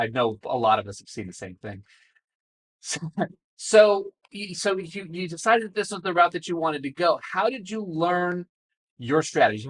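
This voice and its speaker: A man's voice: fairly high pitch, rough recording. A man hosting a casual interview, encouraging and reflective, with repeated stumbling starts. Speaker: interviewer, host.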